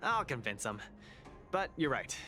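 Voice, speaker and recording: A man's voice: mid-range voice, very animated, unhurried, sharp tone, soft background music. An actor voicing a cheerful, boastful young male underworld fighter in video game dialogue who laughs things off.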